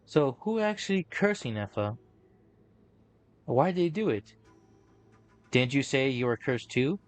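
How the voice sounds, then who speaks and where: Direct young game adventurer. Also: male voice, mid-range voice, big pitch swings, measured pace. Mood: questioning. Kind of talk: video game voice acting.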